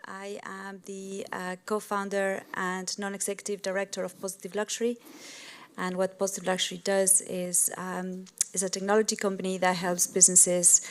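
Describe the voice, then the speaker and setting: A middle-aged woman with an Argentine accent, a native Spanish speaker, talking as a guest in a panel discussion. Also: mid-range voice. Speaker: panel guest, sustainability entrepreneur. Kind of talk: panel discussion, introducing own work.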